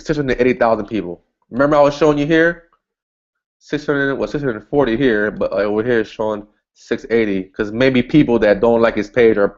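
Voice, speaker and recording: A man's voice: lowish voice, muffled recording. A man casually walking through an online ad setup, thinking aloud as he clicks, with frequent restarts and upbeat asides. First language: English. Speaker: online marketing instructor. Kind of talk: casual screen-share tutorial.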